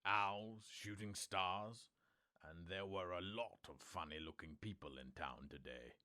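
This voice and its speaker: A man's voice: low voice, unhurried, pushed and bright. A man voicing a meek, nervous middle-aged suburban husband in an audiobook, hesitant and mumbling. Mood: uneasy, hesitant.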